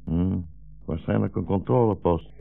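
An actor playing a weary old blind rabbi and war refugee in a solemn manner, in 1960s radio drama style. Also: male voice, sits low, gravelly, no room sound. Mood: gently wry. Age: older. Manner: solemn, slow biblical declaiming. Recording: muffled 1960s radio broadcast recording.

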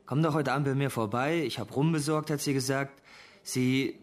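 An actor playing a teenage schoolboy suspect in a German radio crime drama, explaining himself in a hesitant, defensive, uneasy way. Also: male voice, mid-range voice, fairly even intonation, normal speed, close to an everyday voice. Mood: defensive confession. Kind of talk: German radio play acting.

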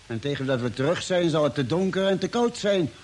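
An actor playing a cautious, sceptical doctor on a space expedition, reasoning and worried, in a vintage radio drama. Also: male voice, a little high, animated, fairly quick, darkish tone. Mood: dutiful. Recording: faintly noisy vintage radio recording.

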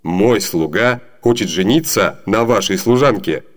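An actor playing a courteous, proud young gentleman in a comedy radio play, formal and ceremonious. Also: on the low side, unhurried, lightly acted.

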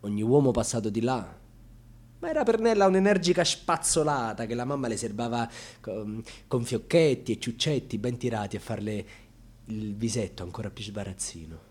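An actor playing an intense, bitterly ironic male storyteller in a radio theatre monologue, with a husky, dramatic delivery that moves between murmurs and outbursts. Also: mid-range voice, lively intonation, moderate pace, lightly acted. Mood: sardonic, wistful. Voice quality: husky, often dropping into breathy whispers. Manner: dramatic, swinging from hushed murmurs to loud outbursts.

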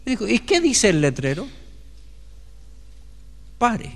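A man's voice: sits high, wildly swooping pitch, slow pace, gravelly, long reverb tail. A male pastor with a Chilean accent preaching a sermon and acting out the dialogue of a story. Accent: Chilean Spanish.

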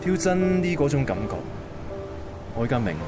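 An actor plays a sincere young man with a Hong Kong Cantonese accent, reflecting as he tells his story. His mid-pitched voice moves expressively over loud music, in radio drama style. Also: big pitch swings, normal speed, natural voice. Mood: earnest realisation. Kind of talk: radio drama narration and dialogue.

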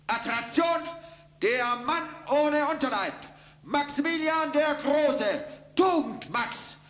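An actor playing a pompous, mocking fairground barker who declaims in a satirical radio play. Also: male voice, very high voice, animated, slow pace, fairly bright tone, full character voice. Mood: mocking, showy. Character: mocking fairground barker presenting a freak show. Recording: echoing space with music behind.

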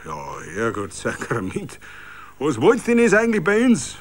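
Man doing defiant grumbling Bavarian villager, animated pitch. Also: normal speed. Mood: indignant anger. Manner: grumbling, indignant. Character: gruff, defiant, angry Bavarian village men.

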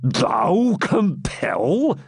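A man voicing a boisterous, blustering friar in an audiobook, with booming, drawn-out archaic speech. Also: big pitch swings, creaky, slightly rough, no echo, clean studio sound, exaggerated voice. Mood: boastful. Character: boisterous, blustering hermit friar, pious fraud and brawler. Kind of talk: audiobook character voice.

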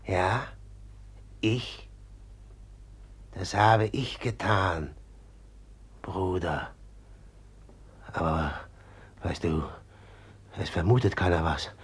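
An actor in a German radio drama playing a brooding, submissive killer who speaks haltingly in a hushed, repetitive way. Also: male voice, low-pitched, husky, full character voice. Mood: subdued, haunted. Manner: halting, hushed, repeating himself. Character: brooding, eerily submissive man who has killed a woman.

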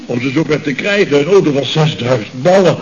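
A man's voice: fairly high pitch, expressive, fairly quick, lightly acted. A man playing an exasperated, sarcastic building-firm boss in a radio comedy, blustering and incredulous. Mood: exasperated sarcasm. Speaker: comedy actor. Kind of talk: 1970s Dutch radio comedy acting. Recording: muffled, noisy old radio recording.

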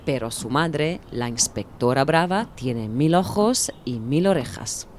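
A woman narrating an audiobook in a calm, measured manner. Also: a bass voice, slight background noise. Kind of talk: audiobook reading.

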